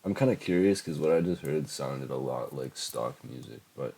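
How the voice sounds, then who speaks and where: A man's voice: rumbling low, animated, normal speed, gravelly, mellow, husky. A young man in his late teens with a heavy cold and a stuffed-up voice, rambling casually with lots of fillers and swearing as he reviews his old music. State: congested from a cold. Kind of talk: casual screen-recording commentary.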